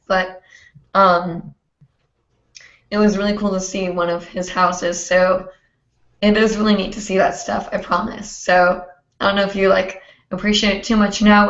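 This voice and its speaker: A young woman hosting a hoop dance livestream, hoarse and chatty, rambling with many fillers. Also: medium pitch, a bit bright. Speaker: host. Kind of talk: casual livestream chat. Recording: roomy, dull lo-fi stream audio.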